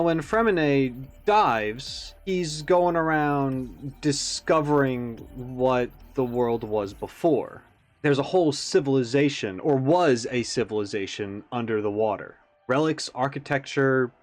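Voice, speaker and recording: A man with a medium-pitched voice gives casual commentary as a reaction video host. He leans on words theatrically, with deliberate pauses. Faint music sits behind his speech.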